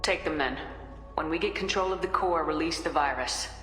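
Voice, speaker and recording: An actress playing a decisive, questioning military commander in a video game, speaking in a direct, terse way. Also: female voice, deep voice, a little fast, fairly bright tone, echoey room, in a large hall, faint music behind. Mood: probing, resolute.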